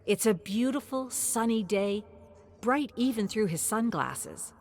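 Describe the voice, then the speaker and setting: Animated woman narrating a story. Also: fairly high pitch, unhurried.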